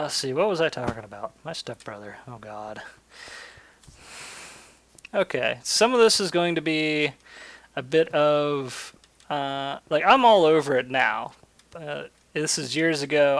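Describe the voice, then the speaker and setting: A man's voice: a little high, a bit bright, dry recording. A man chatting and telling stories casually as he hosts a gaming video, rambling and swearing freely. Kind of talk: casual chat and storytelling over gameplay. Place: indoors, voice-over for screen-recorded gameplay.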